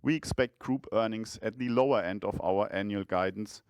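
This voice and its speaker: A man's voice: on the low side, normal speed, clear, resonant tone, no echo. Man giving a formal, dry-sounding financial presentation. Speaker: chief financial officer presenting results. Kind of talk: formal scripted earnings presentation.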